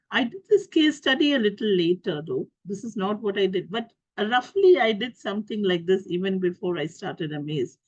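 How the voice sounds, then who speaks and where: Indian woman with a cold, halting talk. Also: medium pitch, husky, no room sound. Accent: Indian English. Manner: halting, many fillers and restarts. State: has a cold and cough. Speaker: guest speaker. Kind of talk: talk to an online group. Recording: dull lo-fi call audio.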